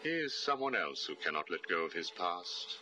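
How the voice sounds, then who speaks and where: Game villain: arrogant older psychiatrist, extremely low, forceful voice, clinical and condescending. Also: male voice, sing-song, moderate pace, slight background noise, full character voice. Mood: cold, smug. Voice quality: very deep. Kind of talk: video game voice acting.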